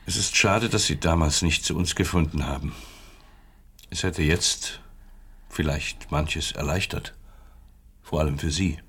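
An actor playing a smug East German secret police officer in radio drama dialogue, measured, insinuating and officious. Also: male voice, rumbling low, a bit bright, husky, exaggerated voice. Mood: smooth, insinuating.

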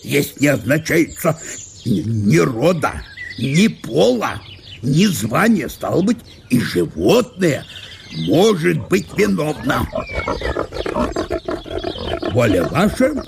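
A man playing a pompous, officious small-town police chief in a literary audio play, with a gravelly voice and deliberate official manner. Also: on the high side, very animated, a little hiss or hum, full character voice.

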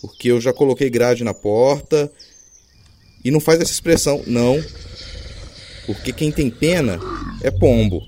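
A man's voice: mid-range voice, moderate pace, pauses often, dark tone, slight background noise. A Brazilian man talking informally and wryly as a podcast host, in a casual, lightly comic style. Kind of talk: casual podcast hosting with scripted comic skit.

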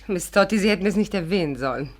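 An actress plays a clever, polite amateur sleuth with a voice of medium pitch. She is earnest and articulate, in the style of a 1950s German radio play. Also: expressive, fast talker, close to an everyday voice. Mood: eager, confiding. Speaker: heroine and narrator.

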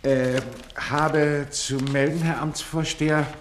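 An actor playing an obsequious, dutiful clerk to a Prussian official, reporting formally and servilely in a German radio play. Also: male voice, fairly high pitch, little pitch movement, slow pace, slight background noise.

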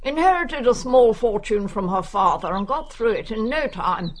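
A woman's voice: a little high, lively intonation, normal speed, a little hiss or hum, lightly acted. An actress playing a nosy, brusque older English village woman in a radio drama, gossiping wryly. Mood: wry, confiding.

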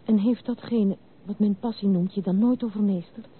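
A woman's voice: mid-range voice, fairly even intonation, normal speed, husky. An actress playing a spirited but wounded young noblewoman in a Dutch radio drama, speaking candidly and earnestly in literary phrases. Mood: probing, intent. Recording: narrow tinny old radio recording, faint hiss.

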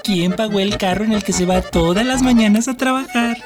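An actor playing a bitter, wounded alcoholic husband in an emotional radio drama. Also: male voice, high-pitched, fairly quick, over music, lightly acted. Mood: resentful.

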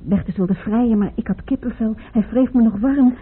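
An actress playing a wistful, fragile young woman in grief, reminiscing haltingly in a Dutch radio play. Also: a little high, husky. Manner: halting, reminiscing, repeats herself. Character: grieving young woman, Maria, wistful, fragile, tearful, in love. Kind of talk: Dutch radio play acting, intimate monologue. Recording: muffled, phone-like old radio recording.

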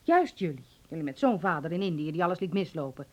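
A woman's voice: low-pitched, very animated, fast. An actress playing a stern, worried middle-aged mother in a Dutch radio play, with an emphatic, scolding manner. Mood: stern, insistent. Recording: muffled radio drama recording.

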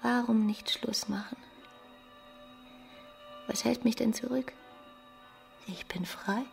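A woman playing a desperate, jilted married romantic in a radio drama, intense and halting, often dropping to a whisper. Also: a little high, fairly even intonation, faint music behind, exaggerated voice. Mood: suicidal despair. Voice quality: creaky, breathy, often whispering.

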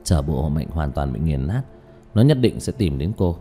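A man playing a calm, matter-of-fact young male exorcist in an audiobook, speaking in a low-key, measured way. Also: faint music behind. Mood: calm, explaining. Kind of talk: audiobook character dialogue.

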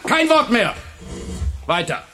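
A high-pitched male voice plays a driven schoolteacher in a German radio play. He is intense and commanding, with the bearing of a charismatic dictator. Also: big pitch swings, slow, bright, forceful tone, heavily acted. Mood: fervent, commanding. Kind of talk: German radio drama acting.

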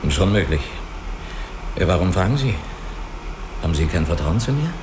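An actor in a radio drama playing a guarded, analytical spaceship crewman who speaks deliberately and reasons step by step. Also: low voice, sing-song, noisy background. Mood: probing, calculating. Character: guarded, analytical male spaceship crewman hiding his identity. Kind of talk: German radio play dialogue.

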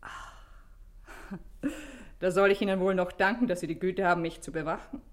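A woman's voice: lowish voice, steady tone, fairly quick, lightly acted. An actress playing a self-assured, evasive society woman under questioning in a German radio drama, with an ironic, haughty manner. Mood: cool, defiant.

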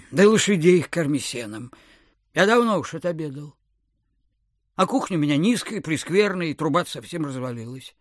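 A man playing a miserly, suspicious landowner in his sixties in an audio drama, with a querulous, husky, rambling delivery. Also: a little high, animated, moderate pace, pauses often, no echo, clean studio sound. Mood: grumbling. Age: 60s. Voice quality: husky, throat-clearing old man's voice. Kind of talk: theatrical audio drama acting.

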